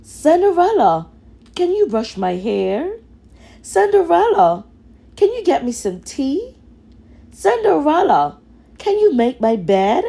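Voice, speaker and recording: A woman's voice: high voice, sing-song, takes it slowly, creaky, warm tone, pitched above the performer's own voice, full character voice. A woman voicing a selfish, bossy young stepsister barking demands in a children's fairy tale. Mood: demanding.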